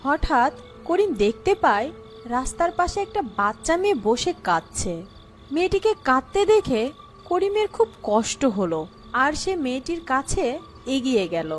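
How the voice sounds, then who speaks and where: High, animated woman storyteller. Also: moderate pace, soft background music. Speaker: story narrator. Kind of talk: expressive scripted children's story narration.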